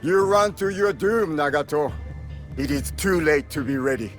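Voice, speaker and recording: An actor playing a grave, fatalistic legendary shinobi warrior in a game cutscene, speaking in a measured, philosophical, ominous manner. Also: male voice, fairly high pitch, close to an everyday voice. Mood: urgent warning. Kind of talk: dramatic game cutscene acting. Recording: music behind the voice.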